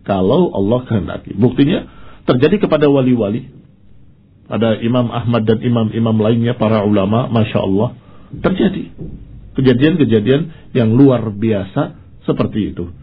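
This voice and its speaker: A man's voice: mid-range voice, normal speed, husky, narrow, tinny audio. A man giving an Islamic sermon in a didactic, emphatic way, repeating key points and posing questions that he answers himself. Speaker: Islamic preacher. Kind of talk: sermon, religious lecture.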